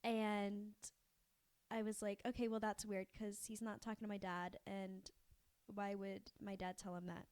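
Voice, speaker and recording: A woman's voice: mid-range voice, flat delivery, measured pace, a little mellow, clean tone. A young woman co-hosting a podcast, telling a story casually and acting out the dialogue as she goes. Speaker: podcast co-host.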